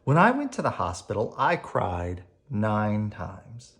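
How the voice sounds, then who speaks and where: Slow, expressive children's storybook reader. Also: medium pitch. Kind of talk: expressive picture-book read-aloud for children.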